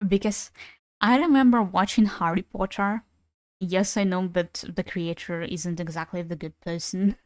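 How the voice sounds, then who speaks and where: A woman's voice: lowish voice, fairly even intonation, moderate pace, a little mellow, no room sound. Young ukrainian-native woman chatting on stream, casual, swears a bit, dry close sound. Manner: chatty, swears casually, laughs between thoughts. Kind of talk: casual gaming stream chat.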